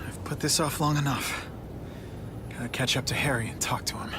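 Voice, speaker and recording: An actor playing an earnest, idealistic young superhero with an American accent in a video game cutscene. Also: male voice, medium pitch, steady tone, a little fast, heavy background noise, lightly acted. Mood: concerned. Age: young adult. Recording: music behind the voice.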